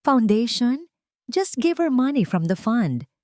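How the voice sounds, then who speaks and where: A woman's voice: fairly high pitch, sing-song, takes it slowly, dark tone, no room sound, clean studio sound, pitched above the performer's own voice. A woman voicing a cold, curt, dismissive billionaire businessman in a narrated drama, switching between English and Tagalog. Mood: cold, irritated.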